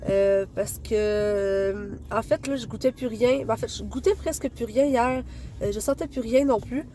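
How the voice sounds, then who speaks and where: Young Québécoise with a stuffy nose. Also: female voice, on the high side, moderate pace, mellow. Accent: Quebec French. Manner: chatty, rambling, many fillers. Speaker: vlogger. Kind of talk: casual chat to camera. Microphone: phone camera.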